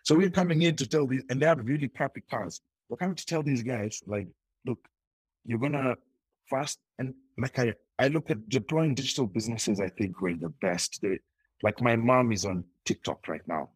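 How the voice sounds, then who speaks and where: A man's voice: medium pitch, normal speed, husky, no echo, poor audio. A young man talking as an interview guest, telling his story in a rambling way with frequent restarts. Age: young adult. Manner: rambling with many restarts and laughs.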